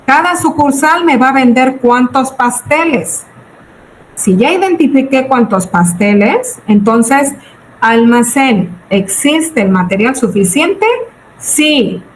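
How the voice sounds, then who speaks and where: A woman's voice: sits high, lively intonation, takes it slowly. A woman in her forties with a Mexican accent, giving an informal lecture to students in a didactic, question-and-answer manner. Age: 40s. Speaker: business budgeting teacher. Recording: roomy echo, background noise.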